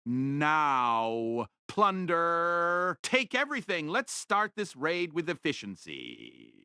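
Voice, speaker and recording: A woman voicing a greedy, triumphant young male magus, theatrical and deliberate, with a clear, bright voice in an audiobook story. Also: sits low, huge pitch swings, no room sound, clean studio sound, pitched below the performer's own voice, heavily acted. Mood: gleeful.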